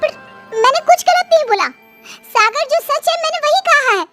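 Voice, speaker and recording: A woman's voice: extremely high pitch, expressive, normal speed, over loud music, pitched above the performer's own voice. A woman voicing a defiant, educated young bride from the city who boldly questions her in-laws. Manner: bold, indignant questioning.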